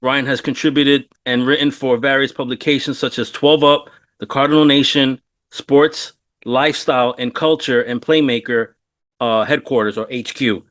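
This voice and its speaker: Male podcast host, late 40s, laid-back and slangy, medium pitch, conversational interviewing. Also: fairly even intonation, normal speed, a bit bright. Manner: informal, laid-back, slangy, calls guest "man" and "bro". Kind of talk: casual sports interview chat.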